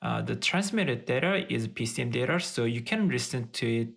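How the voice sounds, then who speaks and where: A man presents a technical tutorial in a mid-pitched voice with a noticeable fry. He explains things methodically, moving step by step like an instructor walking through a project. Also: animated, normal speed, a bit bright.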